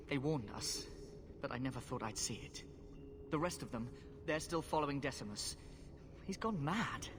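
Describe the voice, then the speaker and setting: A man playing a scared, earnest young mage in a fantasy game. His voice is timid, pleading and fairly high, ringing in a long reverb with clear noise behind. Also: close to an everyday voice. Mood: anxious. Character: frightened earnest young runaway mage boy. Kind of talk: dramatic video game acting.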